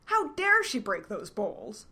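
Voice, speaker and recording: A woman's voice: wildly swooping pitch, slow, bright, forceful tone, exaggerated voice. A woman voicing a tiny furious male yam creature who rants indignantly. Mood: ranting. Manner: shrill, indignant, ranting.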